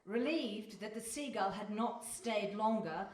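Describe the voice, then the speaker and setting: A woman's voice: medium pitch, measured pace. A woman narrating a scripted story as part of a live radio play. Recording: echoey hall, distant microphone.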